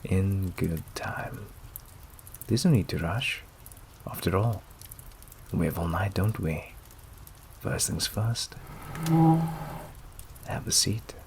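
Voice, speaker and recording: A suave male vampire played by a man for an ASMR roleplay, speaking very low with a gravelly fry. He is possessive and courteous at once, teasing and menacing in silky tones that often sink to whispers. Noisy rain and fireplace ambience runs behind him. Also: takes it slowly, long pauses. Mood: seductive, teasing. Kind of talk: intimate ASMR roleplay acting. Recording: clear background noise and ambience.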